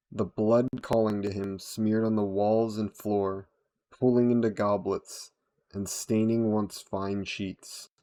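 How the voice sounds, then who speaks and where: Slow, low-voiced brooding vampire storyteller, played by a man. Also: a little mellow, close to an everyday voice. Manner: slow, deliberate, solemn delivery. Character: brooding, solemn male vampire telling a dark tale. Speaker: audio roleplay performer, storyteller. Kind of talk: scripted dramatic storytelling narration.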